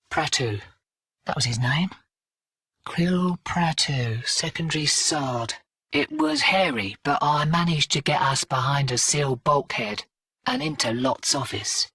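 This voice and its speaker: A woman's voice: sits low, a bit bright, slightly rough, dry recording, clean studio sound, recorded from a distance, heavily acted. A woman voicing a tough, sardonic, weary streetwise mercenary in a video game, with clipped, slangy delivery. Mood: scornful, bitter. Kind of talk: scripted video game character dialogue.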